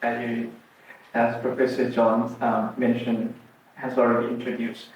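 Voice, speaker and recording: A young man with a Thai accent presents his research at an unhurried pace. He hesitates often and fills gaps with ums. His voice carries a heavy echo and sounds distant from the mic, with faint noise underneath. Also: mid-range voice, completely flat delivery. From Thailand. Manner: hesitant, many ums and restarts. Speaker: doctoral student presenter. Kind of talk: academic presentation. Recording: roomy echo from far off.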